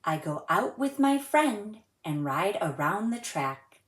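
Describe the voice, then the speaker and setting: A woman hosting a children's story time, reading aloud and presenting to young viewers in an expressive, measured way. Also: mid-range voice, roomy sound.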